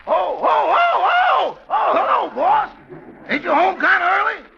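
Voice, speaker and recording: Cheeky servant in old forties radio comedy, super raspy, really high voice, loud hiss and muffled. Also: male voice, huge pitch swings, a little slow, crowd noise, heavily acted. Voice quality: gravelly, rasping. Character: cheeky, sly male valet with ready excuses. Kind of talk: 1940s radio comedy acting.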